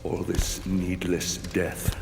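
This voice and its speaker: A man playing a grim, vengeful Roman general in a game cutscene, with a gravelly voice and measured, weighty delivery. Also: low-pitched, completely flat delivery, noisy background, full character voice. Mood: cold accusation. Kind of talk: dramatic game cutscene acting. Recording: echoing hall with loud music. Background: loud dramatic music.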